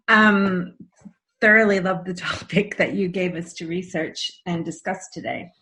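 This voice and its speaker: A woman's voice: lowish voice, takes it slowly, a bit bright. A woman hosting a podcast interview, talking in an enthusiastic, reflective way. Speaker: podcast host. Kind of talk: conversational interview.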